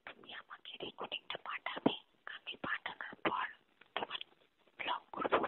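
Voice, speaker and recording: A person speaking in a hushed, breathy whisper, pleading through an intimate relationship argument on a phone call. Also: normal speed. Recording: muffled tinny phone call recording.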